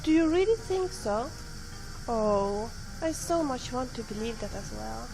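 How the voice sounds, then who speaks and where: Actress as chatty, caring female adventurer, higher voice, game dialogue, music underneath. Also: animated, unhurried, gravelly, poor audio. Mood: moved, hopeful. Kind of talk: video game companion dialogue.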